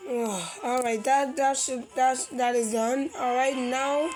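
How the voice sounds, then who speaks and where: A young-sounding amateur with a high, childlike voice improvising playful cartoon animal voices, with exclamations and sing-song filler. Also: steady tone, takes it slowly, faint music behind. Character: cartoon animal characters, eager and easily alarmed. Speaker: fan dubber voicing every cartoon character.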